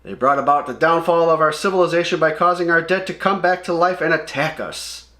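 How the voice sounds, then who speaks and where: A man's voice: on the high side, brisk, bright, forceful tone, pitched above the performer's own voice. A man reading the part of a grave woman warrior from a comic, solemn and expository. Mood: grave, explaining.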